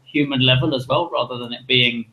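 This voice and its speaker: A man hosting a casual online chat, upbeat and chatty. His voice sits at a mid pitch, and the room adds a clear echo around it. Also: fairly even intonation, fairly quick, highs cut off. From United Kingdom. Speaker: host. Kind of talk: casual live-stream chat. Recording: roomy echoey sound.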